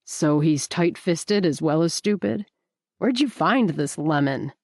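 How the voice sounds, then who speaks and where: A woman's voice: sits low, expressive, measured pace, a little mellow, no room sound, clean studio sound, heavily acted. A woman doing a blunt, sarcastic young man. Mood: needling. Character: brash, teasing young man, male friend.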